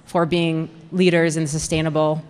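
Young woman doing a slide talk at a mic, pretty low voice, big echoey hall. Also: little pitch movement, moderate pace, a little mellow, clear, resonant tone. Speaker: college program coordinator presenting. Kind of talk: prepared public presentation with slides. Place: indoor presentation room with audience. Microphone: handheld-style mic on stand. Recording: reverberant hall through PA microphone.

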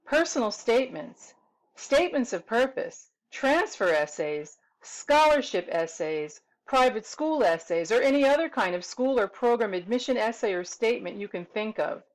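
A woman's voice: medium pitch, rough recording. A middle-aged American woman from New York City giving an articulate, upbeat scripted introduction to her services as a tutor, recorded in a small room at home. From New York City, United States. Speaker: tutor and writing consultant. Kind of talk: scripted self-promotional introduction to camera.

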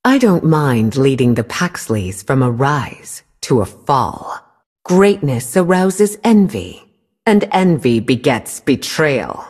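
A husky, haughty dark sorceress. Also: female voice, a bass voice, sing-song, slow, exaggerated voice. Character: regal cursed sorceress queen, proud and menacing. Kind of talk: game character voice lines.

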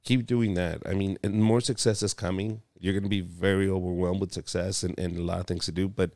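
A man with a low, gravelly voice hosts a relaxed podcast conversation. His talk is loose and profane, with plenty of "bro". The sound is close and dry, as from a studio microphone. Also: brisk, no room sound. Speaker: podcast host and comedian. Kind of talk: casual podcast chat.

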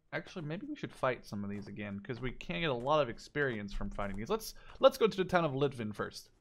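A man in his twenties giving casual let's play commentary, thinking out loud in short phrases as if talking to himself. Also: on the high side. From Canada or Netherlands. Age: 20s. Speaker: gaming YouTuber playing and commentating.

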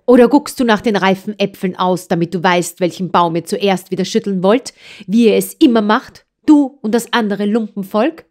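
A grim, short-tempered farm wife in a storybook who snaps and drives a child away, as a woman reading aloud puts her on. She scolds sharply and a little louder than the narrator, and her voice sits somewhat higher than the reader's own. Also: big pitch swings, normal speed. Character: angry middle-aged farmer's wife, harsh and grim.

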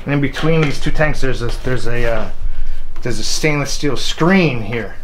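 A middle-aged man with an ordinary mid-range voice walks through a job as he does it, relaxed and plain-spoken, pausing and restarting as he thinks aloud. He is recorded on a camera mic in a wooden garage, often turned away from it. The clicks and clatter of his tools come through clearly behind him. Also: medium pitch, noisy background. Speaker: hobbyist beekeeper. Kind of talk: casual hands-on demonstration. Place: cluttered wooden garage workshop, indoors. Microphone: camera mic, speaker often turned away.

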